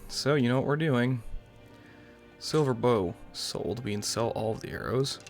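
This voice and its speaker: Tired young man with creaky voice. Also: medium pitch, unhurried, soft background music. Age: young adult. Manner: subdued late-night rambling. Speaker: gaming YouTuber. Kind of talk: casual let's-play commentary.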